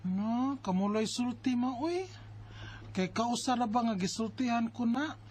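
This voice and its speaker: A woman acting a bossy, concerned married elder sister in a Bisaya radio drama, with an expressive, nagging and exasperated delivery. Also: on the high side, a little slow, breathy edge. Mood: defensive, scolding. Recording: music bed under the voice. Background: background music.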